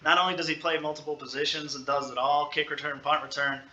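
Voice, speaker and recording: A male sports podcast host with a very bright, punchy voice. He talks casually and playfully, teasing and hyping up his guests. The sound is noticeably roomy. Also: a little high, little pitch movement, fast, highs cut off. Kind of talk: casual sit-down sports interview.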